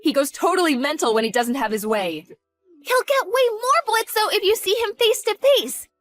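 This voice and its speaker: A woman's voice: extremely high pitch, lively intonation, moderate pace, fairly bright tone, no echo, faint music behind. A woman doing a panicky, devoted young daughter. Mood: urgent. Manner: earnest, panicky, pleading. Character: devoted, frightened college-age daughter. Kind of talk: dramatized story acting.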